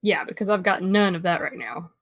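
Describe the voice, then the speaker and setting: A woman's voice: fairly low pitch, brisk, breathy edge, dry recording, highs cut off. A young American woman playing a guarded, dryly witty teenage girl in understated, naturalistic audio drama dialogue. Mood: guarded, wry.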